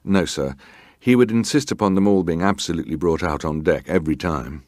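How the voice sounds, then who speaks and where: An actor playing a terse, guarded ship's officer giving formal, respectful, matter-of-fact testimony in a dramatised hearing. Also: male voice, deep voice, brisk, darkish tone, no room sound, clean studio sound, close to an everyday voice. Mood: dutiful, firm. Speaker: actor reading a witness's testimony.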